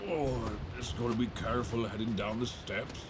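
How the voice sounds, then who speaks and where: A man playing a weary, wry old nineteenth-century lighthouse keeper with halting, folksy speech in a suspense audio roleplay, close on a binaural mic. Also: mid-range voice, fairly even intonation, very noisy, natural voice. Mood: kindly, coaxing. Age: older. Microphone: close binaural microphone.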